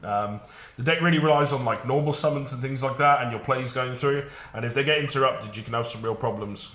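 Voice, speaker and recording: A man's voice: mid-range voice, brisk, fairly bright tone, roomy sound. A man with a head cold, sounding congested, chatting casually through a card game deck with frequent fillers.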